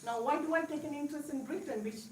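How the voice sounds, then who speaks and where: A woman's voice: sits high, quick pace, slight background noise. A woman from Malaysia, speaking earnestly and emphatically in a casual group discussion, with short laughs. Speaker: local political volunteer in a group discussion. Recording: roomy, from a distant mic.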